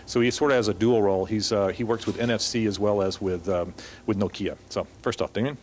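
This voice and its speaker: Fast-talking low-voiced male moderator. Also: steady tone, slight background noise. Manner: rapid, with many fillers and restarts. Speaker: panel moderator. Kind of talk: conference moderation. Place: conference hall.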